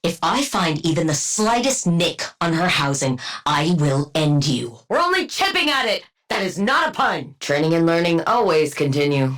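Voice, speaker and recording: A woman playing a brilliant, dry-witted scientist villain-antihero in a video game, speaking in a clinical, deadpan and wryly bookish way. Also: sits low, normal speed, few pauses. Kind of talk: video game combat voice lines.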